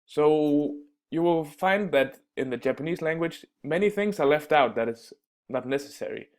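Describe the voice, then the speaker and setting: Halting man with a gravelly voice. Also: a little high, expressive. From Denmark. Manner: halting, many fillers and restarts. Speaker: language teacher. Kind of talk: casual spoken lesson.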